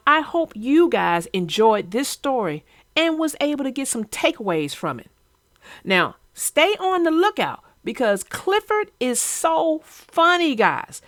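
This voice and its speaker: A woman's voice: fairly high pitch, a bit bright, no room sound, studio quality. A woman hosting a children's read-aloud show, chatting about a story with young viewers.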